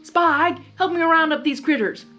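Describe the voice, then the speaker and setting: A woman's voice: high voice, unhurried, sharp tone, faint music behind, pitched above the performer's own voice. A woman voicing a bossy, folksy female cartoon farm pony in a story reading, given to hollering. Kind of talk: dramatized fanfiction storytelling.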